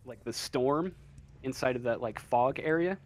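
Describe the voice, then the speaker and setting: A man's voice: medium pitch, normal speed, darkish tone. A man improvising narration as game master of a tabletop game, hesitant and often searching for words.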